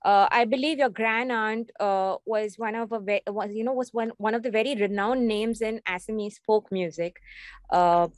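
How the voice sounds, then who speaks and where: A woman's voice: medium pitch, fairly even intonation, moderate pace, no room sound. Young woman interviewing on a video call. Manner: hesitant, many fillers and restarts. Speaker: radio interviewer.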